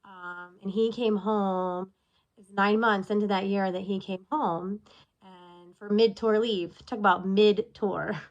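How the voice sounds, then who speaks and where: A woman's voice: mid-range voice, low-quality recording. A woman in her thirties, sniffly from allergies, talking candidly in a casual self-recorded video check-in and drifting off on tangents. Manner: candid, chatty, goes off on tangents. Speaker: military spouse vlogger.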